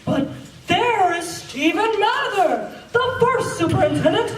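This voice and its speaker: A woman's voice: very high voice, animated, a little slow, slight background noise. A woman playing an upbeat time-machine tour guide in an amateur radio play, announcing theatrically to the listeners. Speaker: narrator and guide. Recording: echoey room, distant mic, music behind.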